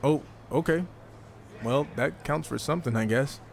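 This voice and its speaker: Man acting a self-assured fighter, medium pitch. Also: normal speed, creaky, a little hiss or hum, natural voice. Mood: teasing, dry. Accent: American. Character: confident, wry male MMA fighter turned wrestling rookie. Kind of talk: game cutscene dialogue.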